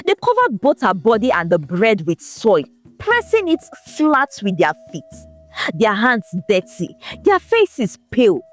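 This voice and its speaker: A woman narrating a folktale in a scripted, expressive, dramatic storytelling manner. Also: fairly high pitch, a little slow, soft background music.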